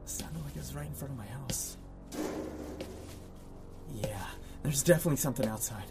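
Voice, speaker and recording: A man's voice: medium pitch, moderate pace, lightly acted. A man playing a frightened, foul-mouthed ordinary guy in a horror audio drama, muttering and exclaiming to himself. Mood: nervous. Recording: music behind the voice.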